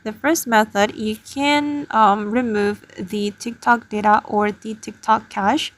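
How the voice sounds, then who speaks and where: A woman's voice: mid-range voice, steady tone, slow. A woman hosting a casual tutorial, explaining things step by step to her viewers. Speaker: tutorial host. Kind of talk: casual spoken screen tutorial.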